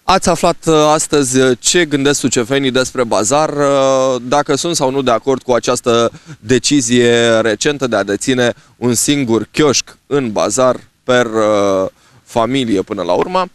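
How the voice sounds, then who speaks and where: A man in his thirties hosting a local TV street report into a handheld microphone, pausing often on drawn-out fillers. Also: mid-range voice, clean tone, no echo. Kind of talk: unscripted piece to camera. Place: outdoors on a snowy town street. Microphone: handheld microphone held at chest.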